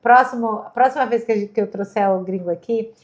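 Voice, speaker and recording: A woman's voice: on the high side, fast talker. A woman hosting a podcast interview, asking questions and echoing her guest's answers back. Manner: asks questions, echoes the guest's words back. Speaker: podcast host. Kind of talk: casual interview.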